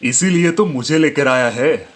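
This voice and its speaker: A man's voice: mid-range voice, measured pace, slight background noise, close to an everyday voice. A man voicing an arrogant, domineering village strongman who threatens people in a cartoon story. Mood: threatening. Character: arrogant bullying village strongman, adult male.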